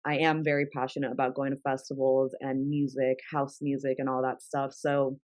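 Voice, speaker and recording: A woman's voice: low-pitched, dry recording. Young woman guest, Spanish first language, chatty with fillers, dry close-mic sound. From New York. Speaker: guest, travel content creator. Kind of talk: casual interview talk.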